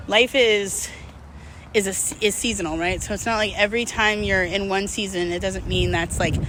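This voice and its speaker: A woman's voice: mid-range voice, a bit bright. A woman talking candidly and casually to her followers while out walking, rambling and self-deprecating as she gives motivational encouragement. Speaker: vlogger. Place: outdoors, on a walk. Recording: outdoor background noise, urban traffic ambience.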